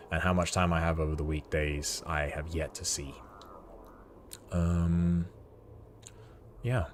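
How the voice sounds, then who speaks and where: A low-voiced British man talks to his stream chat while he plays, rambling and friendly. Faint hiss sits under his desk mic. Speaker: game streamer. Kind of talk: casual livestream chat while playing.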